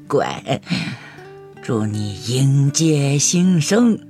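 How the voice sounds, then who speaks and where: Radio drama grandmother: tender old woman, unhurried delivery, widely swinging intonation. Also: very deep voice, lively intonation, warm tone, faint music behind, exaggerated voice. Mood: tender, encouraging. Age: older. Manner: tender, deliberate, with drawn-out particles. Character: kindly old grandmother encouraging her grandson.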